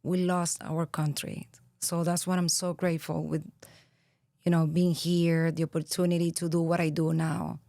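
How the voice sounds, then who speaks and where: A woman in her thirties from Venezuela with a Venezuelan Spanish accent, a podcast guest speaking earnestly and reflectively, pausing often with fillers. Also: deep voice, level tone, creaky, warm tone, no echo, studio quality. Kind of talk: interview conversation.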